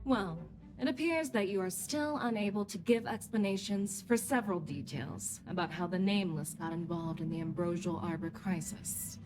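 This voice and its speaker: A woman's voice: on the low side, over music, close to an everyday voice, poor audio. Composed, probing female general voiced by an actress. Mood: coolly pressing. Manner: measured, formal, accusatory. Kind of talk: scripted game dialogue.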